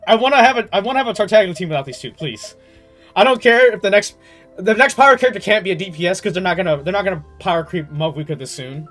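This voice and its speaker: A young man chatting casually on a game livestream in an animated, slangy way, swearing freely. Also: sits high. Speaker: game streamer.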